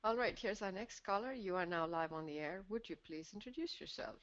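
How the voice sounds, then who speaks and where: A woman's voice: medium pitch, animated, fairly quick, a little hiss or hum. A woman hosting a call-in conversation, affirming others with agreeing murmurs and tag questions. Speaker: call-in show host. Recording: slightly dull call audio.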